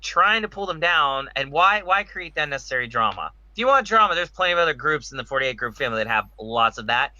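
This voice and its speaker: Male podcast host, very forceful and emphatic, opinionated panel talk, dry but slightly muffled recording. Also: on the high side, big pitch swings, quick pace, hardly any pauses, no echo. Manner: emphatic, rhetorical, repeats himself. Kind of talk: opinionated casual panel discussion.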